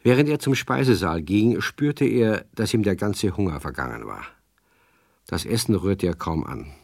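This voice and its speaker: Guy narrating a kids radio play, lowish voice, measured storytelling, no room sound at all. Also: a little mellow, no echo, studio quality.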